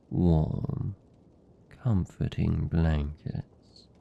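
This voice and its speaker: Man acting a very low, gravelly British vampire. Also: warm tone, full character voice. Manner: slow, murmured, coaxing delivery. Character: possessive, doting, teasing male vampire captor. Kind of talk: intimate ASMR roleplay acting. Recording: muffled, rumbly, faint background noise.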